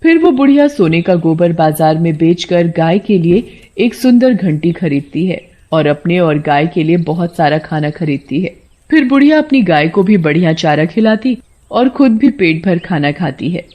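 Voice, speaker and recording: A woman narrates a cartoon folk tale as a storyteller. Her voice sits a little low, and she reads from a script. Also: expressive, moderate pace, lo-fi sound.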